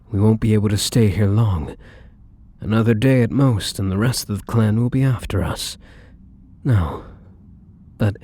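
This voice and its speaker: A man as a slow, husky, tender vampire lover. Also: lowish voice, full character voice. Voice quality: soft, breathy, husky. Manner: gentle, hesitant, affectionate, lingering pauses. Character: tender, devoted male vampire lover, weary from battle. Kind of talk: intimate ASMR roleplay acting.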